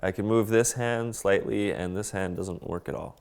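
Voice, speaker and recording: A man in his thirties speaks calmly in a documentary interview. His voice is smooth and resonant and sits slightly low. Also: moderate pace. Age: 30s. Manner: calm, simple statements.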